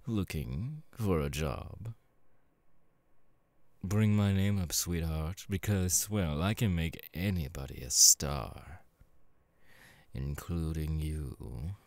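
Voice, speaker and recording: Slow, sleazy demon boss played by a man. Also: animated, no echo, full character voice. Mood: smooth, persuasive. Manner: suave, drawling, leering, with low chuckles and pet names. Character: sleazy, seductive demon porn director and crime boss, male. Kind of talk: ASMR audio roleplay, in character.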